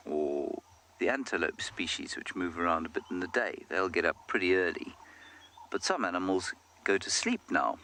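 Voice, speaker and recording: A man guiding a live game drive, calmly explaining the animals to viewers from outdoors in the bush. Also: low voice, slight background noise. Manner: calm, explanatory, speaks directly to viewers. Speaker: safari guide.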